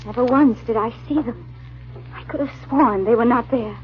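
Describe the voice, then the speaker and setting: An actress playing a frightened, tormented wife on the run in an old radio drama, with a halting, melodramatic delivery. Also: female voice, a little high, a little hiss or hum, natural voice. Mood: dread, hushed narration. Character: frightened hunted wife, gentle, tormented, fleeing her murderous husband. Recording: muffled old-time radio recording.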